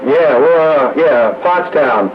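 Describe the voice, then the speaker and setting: A man's voice: fairly high pitch, lively intonation, slow pace. A man bantering casually as an amateur operator over a two-way radio. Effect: two-way radio transmission. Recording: muffled, noisy two-way radio audio.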